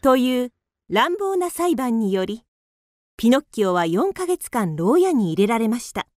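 Female narrator, calm storybook reading, slightly high voice. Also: measured pace, loud music behind the voice.

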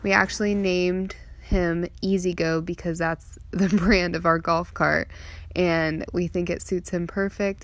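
A woman's voice: on the low side, fairly bright tone, no room sound. A young adult woman narrating a personal vlog in a heartfelt, earnest way. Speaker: farm vlogger and mother. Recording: clearly noisy outdoor ambience.

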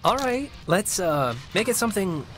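A man playing a chatty, cheeky, excitable teenage boy in a naturalistic video game. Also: sits high, normal speed, faint music behind. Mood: teasing. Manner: playful, jokey, excitable.

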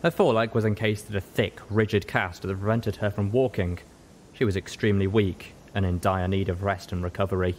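A man's voice: steady tone, fairly quick, a little mellow. A man reading scripted story narration as an audiobook narrator. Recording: roomy echo, audible noise, low rumble.

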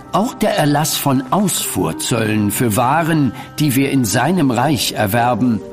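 An actor playing a greedy, impatient elder merchant banker in an audio drama, condescending and formal. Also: male voice, medium pitch. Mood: smug, explanatory. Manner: condescending, formal archaic diction. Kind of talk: German audio drama acting.

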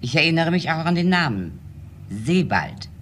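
An earnest housekeeper in a radio crime drama. She speaks deliberately with lively pitch movement, over faint background noise. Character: earnest female housekeeper giving a witness report. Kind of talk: German crime radio play acting.

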